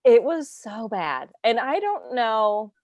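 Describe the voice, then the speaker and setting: A woman's voice: fairly high pitch, very animated, slow, a little mellow, no room sound. A woman co-hosting a writing podcast in casual chat, talking loosely with many "like"s and laughing along. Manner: chatty, lots of "like" fillers, laughs along. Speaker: podcast co-host.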